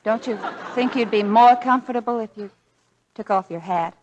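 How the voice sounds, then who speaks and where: An actress playing a devoted, coaxing young wife in a 1940s radio drama, speaking earnestly. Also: female voice, a little high, normal speed, a little mellow, dull audio, a little hiss or hum, lightly acted. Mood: gentle, concerned. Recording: vintage 1940s radio broadcast.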